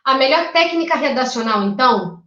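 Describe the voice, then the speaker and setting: A woman with a Carioca accent lectures in a chatty way and keeps checking in with her listeners. Her voice sits a little high. The recording is distant, dull and roomy. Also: lively intonation, normal speed, sharp tone. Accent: Carioca Brazilian Portuguese. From Rio de Janeiro, Brazil. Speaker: teacher. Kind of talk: live online exam-prep lecture.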